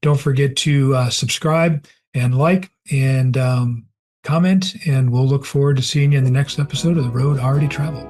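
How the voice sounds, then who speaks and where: A man's voice: medium pitch, steady tone, moderate pace, a little mellow, soft background music. Chatty male host losing his voice. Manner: chatty, many fillers and throat clearing. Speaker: podcast host. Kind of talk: casual podcast outro.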